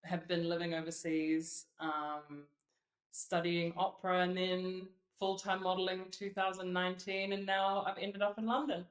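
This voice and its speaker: A woman's voice: lowish voice, level tone, normal speed. A woman with a New Zealand accent, an opera singer and model, telling stories with giggles as a podcast guest. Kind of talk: casual interview chat. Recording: roomy echo.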